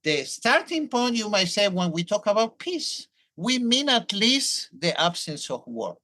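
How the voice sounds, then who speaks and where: A man's voice: sits high, very animated, a little slow, pushed and bright. A man in his sixties, born in Argentina, who speaks English with a Hebrew accent and explains his research at length in an academic interview. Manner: thoughtful, explanatory, with restarts. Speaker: professor, guest.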